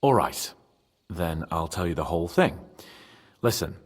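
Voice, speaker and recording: A man playing an impulsive, expressive young medieval nobleman in a game. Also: deep voice, measured pace, husky, big-room sound, exaggerated voice. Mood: earnest. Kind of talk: dramatic game cutscene acting.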